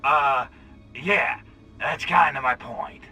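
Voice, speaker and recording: A man's voice: medium pitch, slow, sharp tone, breathy edge, heavily acted. A man voicing a cocky, sarcastic little alien invader in a video game cutscene. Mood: sardonic. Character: brash, wisecracking little male alien invader.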